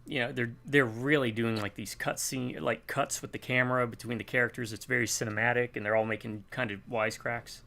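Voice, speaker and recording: A man's voice: medium pitch, flat delivery, fairly quick, vocal fry, hardly any pauses, bright, forceful tone. A man chatting casually while gaming, telling a long rambling story and acting out bits of dialogue. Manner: rambling storytelling, acts out quotes. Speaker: gamer co-op player.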